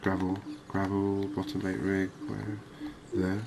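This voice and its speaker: Low, even-toned man in quiet game commentary. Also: creaky, heavy background noise. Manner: unhurried, thinking aloud. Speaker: gaming video host.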